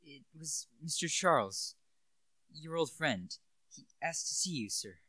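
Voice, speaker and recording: A teenage male student actor playing a cautious, deferential butler in a radio play, formal and halting. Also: on the high side, animated, bright, forceful tone, no echo, exaggerated voice. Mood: meek, formal.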